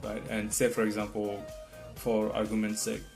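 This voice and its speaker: Man, non-native english speaker, gravelly voice, casual rambling advice, guitar playing underneath. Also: on the low side, completely flat delivery, moderate pace. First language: not English. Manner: rambling, repeats "right?" and restarts often. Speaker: test-prep vlogger. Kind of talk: casual advice talk to camera. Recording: guitar music in the background.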